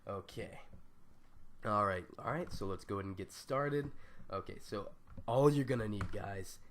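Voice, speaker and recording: Young male tutorial host, lowish and scratchy from a stuffy nose, loose and rambling, full of slang. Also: normal speed, fairly bright tone. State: raspy, stuffed-up voice. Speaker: gaming tutorial youtuber. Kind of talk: casual screen-recorded tutorial.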